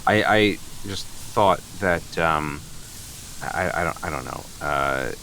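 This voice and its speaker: Anxious, over-apologetic boyfriend, comedy radio play. Extremely deep yet forward, bright tone, halting delivery. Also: male voice, lively intonation, creaky, full character voice, poor audio. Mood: flustered, apologetic. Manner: halting, stammering, flustered. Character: nervous, earnest, apologetic boyfriend seeking a father's blessing. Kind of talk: improvised comedy radio play, naturalistic dialogue. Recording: faint sizzling barbecue noise behind.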